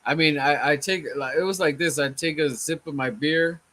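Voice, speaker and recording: A man hosting a casual sports fan chat, his voice a little hoarse from chanting, laid-back and often saying "man". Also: a little high, normal speed, fairly bright tone. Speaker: fan channel host.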